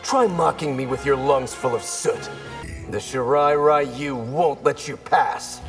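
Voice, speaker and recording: An actor playing an earnest, defiant ninja warrior in a video game. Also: male voice, mid-range voice, takes it slowly. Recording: very loud music, long reverb.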